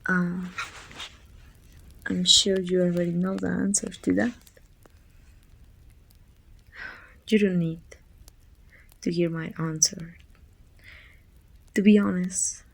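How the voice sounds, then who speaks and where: A weary, bittersweet female supervillain, acted by a woman for an ASMR roleplay. Her voice is husky and breathy, with a crackling fry at the edges. She speaks in a pensive, deliberate way, often sinking toward a whisper. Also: fairly low pitch, level tone, heavily acted. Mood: pensive, hushed. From Mexico.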